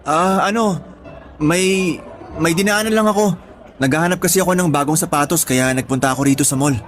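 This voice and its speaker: A man's voice: a little high, a little fast, faint music behind, close to an everyday voice. A man playing a curious, doubting young friend in a Tagalog radio drama, casual and questioning. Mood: hesitant.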